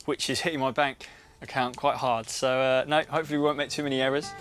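A man's voice: medium pitch, flat delivery, fast, fairly bright tone, soft background music. A man in his early twenties, a student building his own project, talking casually and modestly about the work and its cost. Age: 20s. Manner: easygoing, modest, laughing at himself. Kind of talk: casual conversation. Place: workshop and outdoor yard.

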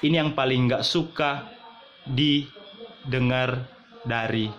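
A young man from Manado hosting a playful comedy vlog, talking in Jakarta slang with quick laughs and sing-song repeats, filmed on a phone at arm's length in a small bedroom. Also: mid-range voice, steady tone, lo-fi sound, very noisy. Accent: Manado-born, using Jakarta slang. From Manado, Indonesia. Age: young adult. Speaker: vlogger host. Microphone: phone camera at arm's length. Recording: echoey room phone recording.

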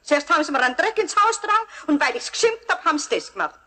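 A woman's voice: high voice, big pitch swings, fast talker, sharp tone, full character voice. An actress playing a snappish, indignant caretaker woman with a Bavarian accent in a radio play. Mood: accusing. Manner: scolding, indignant.

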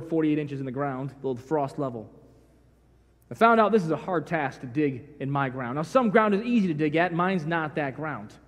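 Middle-aged male preacher, high-pitched, animated and homespun, sermon with long church reverb. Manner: folksy, animated storytelling, acts out dialogue. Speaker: pastor. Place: large church sanctuary.

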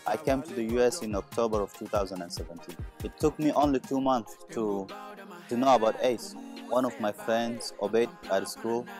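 A young man from Ethiopia, a new high school graduate, speaking outdoors with a lilting, sing-song delivery. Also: moderate pace, darkish tone, soft background music. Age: young adult. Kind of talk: casual testimonial. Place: outdoors by a house.

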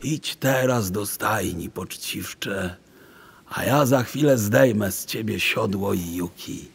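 An actor playing a kindly, tender old sage with a husky, gravelly voice in a children's audio drama. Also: male voice, on the low side, slow pace, full character voice. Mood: tender, quiet.

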